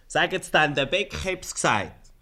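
A man's voice: a little high, expressive, a little slow, crowd noise. A man playing a chatty, reassuring village baker in a 1950s Swiss German radio comedy. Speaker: actor in a radio play.

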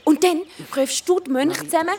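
A woman's voice: high voice, moderate pace, a little mellow, recorded from a distance, a little hiss or hum. A woman playing a bold, scheming young medieval peasant girl with a Swiss German accent, confident and bossy, in a children's radio play. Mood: plotting. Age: child figure.

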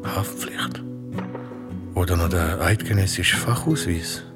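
An actor playing an indecisive man musing haltingly over his options in a radio play. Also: low-pitched. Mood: pensive, undecided. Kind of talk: inner-monologue radio-play acting. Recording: loud guitar music over voice. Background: plucked guitar music.

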